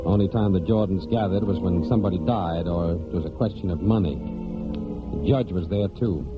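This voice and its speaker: An American actor playing a disgraced, brooding prodigal son who narrates in halting, clipped phrases in a 1940s radio drama. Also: male voice, deep voice, lightly acted. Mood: wry, resentful. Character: disgraced prodigal son of a hard New England family, brooding. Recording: dull 1949 radio recording, music behind.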